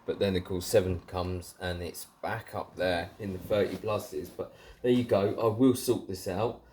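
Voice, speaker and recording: Low-pitched, chatty southern English man. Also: fairly even intonation, normal speed, darkish tone, slight background noise. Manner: chatty, informal British slang. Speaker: CB radio hobbyist vlogger. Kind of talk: casual off-the-cuff chat.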